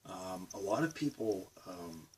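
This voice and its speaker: A man's voice: sits low, animated, unhurried, a little mellow, distant mic, a little hiss or hum, poor audio. A man with a Boston accent rambling casually to his camera from his bedroom studio. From Massachusetts, USA. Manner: rambling, meandering talk. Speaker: vlogger.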